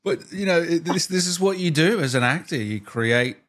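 A man's voice: on the high side, expressive, moderate pace, fairly bright tone. A man in his thirties hosting a casual interview from a home studio, enthusiastic and complimentary, speaking into a desk microphone. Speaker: interviewer, host. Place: small home studio, indoors. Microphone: close desk microphone, with headset.

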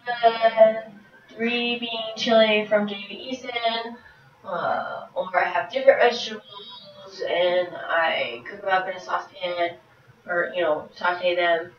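A woman's voice: mid-range voice, steady tone, slow, a little hiss or hum. A woman in her thirties casually chatting through meal prep tips in her home kitchen, rambling with lots of ums, getting over a cold, recorded by a camera across the room. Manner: rambling, many ums, moves around while talking. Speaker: fitness vlogger. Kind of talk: casual how-to chat. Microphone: far camera. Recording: roomy, distant, dull camera audio.

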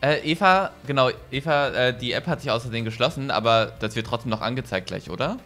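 A man's voice: mid-range voice, fast, bright, forceful tone. A young man doing casual gaming commentary, reading out captions and chatting with friends. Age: young adult. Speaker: online gaming crew member reading out captions. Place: home room on a group video call. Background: background music.

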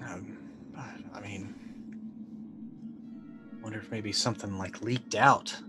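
A man's voice: fairly low pitch, fairly even intonation, slow pace. A middle-aged man playing a role-playing game, casually thinking aloud as he decides what his character does. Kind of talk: casual improvised game play. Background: faint background music.

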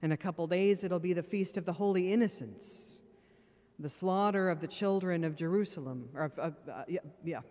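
A woman's voice: fairly low pitch, normal speed, dark tone. A woman priest preaching from a pulpit microphone in a church, earnest and plain-spoken with wry asides, and sounding a little tired. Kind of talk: sermon. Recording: long church reverb.

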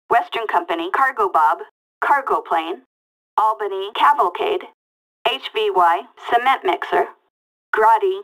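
A woman's voice: medium pitch, gravelly, fairly bright tone, low-quality recording. An actress playing a female police dispatcher in a video game, reading out short callouts in a clipped, detached way through a police radio filter. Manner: clipped, detached, word-by-word delivery. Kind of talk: reading out vehicle makes and models as scanner callouts.

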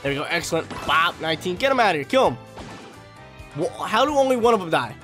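Young male let's-play commentator, a bit hoarse, fast and chatty, somewhat high voice, headset mic in a room. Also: big pitch swings, a bit bright. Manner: hyped, chatty, slangy. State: slightly hoarse from a sore throat. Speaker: gaming YouTuber. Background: faint game music.

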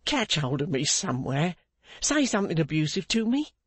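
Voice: medium pitch, big pitch swings, normal speed, breathy edge, no echo. A reader voicing a frantic, remorseful man in an audiobook, exclaiming and pleading deferentially as he punishes himself. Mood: frantic self-reproach. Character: frantic remorseful man, agitated, self-punishing, begging for reproach. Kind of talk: audiobook character acting.

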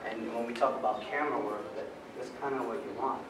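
A man's voice: medium pitch, fast talker. A man teaching a stage-fight workshop, demonstrating punches and elbows as he explains. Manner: energetic, demonstrating moves while talking. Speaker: stunt fight instructor. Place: large carpeted event room indoors. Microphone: far camera. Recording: echoey room from far off, loud background noise.

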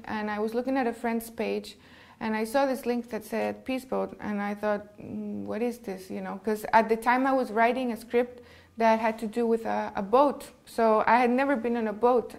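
A woman's voice: fairly high pitch, darkish tone. A woman with a Costa Rican accent, a native Spanish speaker, telling her own story as a filmmaker in a conversational, earnest way. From Costa Rica. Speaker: filmmaker being interviewed. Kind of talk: conversational personal account.

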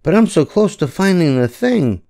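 Older man doing an anxious little boy aardvark, high-pitched. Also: sing-song, normal speed, dark tone. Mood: bargaining. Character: anxious young boy aardvark, eager, easily flustered.

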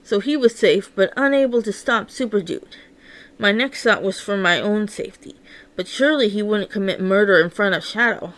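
A woman's voice: medium pitch, normal speed, a bit bright. A woman narrating a first-person story read-along in an emotive, theatrical way.

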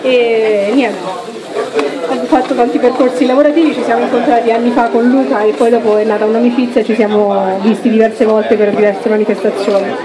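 A woman's voice: fairly high pitch, steady tone, a little fast, low-quality recording. A woman chef from Rome in her thirties, explaining each step of a dessert while cooking at a live demonstration on a handheld mic. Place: restaurant dining room with audience. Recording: chattering crowd behind.

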